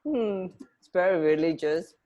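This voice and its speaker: A woman's voice: fairly low pitch, unhurried, dark tone, highs cut off. A woman co-hosting a casual radio chat, phrasing things a little hesitantly. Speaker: radio co-host.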